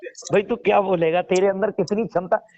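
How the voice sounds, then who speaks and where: A man's voice: high voice, steady tone, normal speed, darkish tone. A man speaking earnestly and repetitively in a casual phone conversation, urging his point and calling the listener brother. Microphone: phone call. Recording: dull lo-fi recorded phone call.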